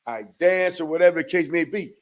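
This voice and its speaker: A man's voice: on the high side, a little fast. A man hosting a casual interview, easygoing and encouraging, with slangy talk. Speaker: podcast host.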